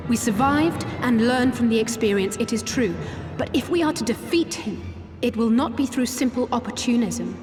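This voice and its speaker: A woman's voice: fairly high pitch, animated, close to an everyday voice. Woman acting as an earnest strategist in echoing reverb. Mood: grave, cautioning. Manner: formal, articulate, measured. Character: earnest young woman, measured, cautious strategist. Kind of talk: dramatic video game voice acting. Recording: echoey hall-like reverb under music.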